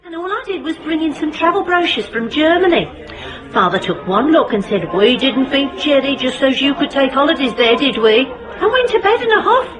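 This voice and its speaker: An actress playing a bossy, chatty, jealous British wife out dancing in a radio drama. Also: female voice, high-pitched, lively intonation, normal speed. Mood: gossipy. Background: music and busy dance hall crowd.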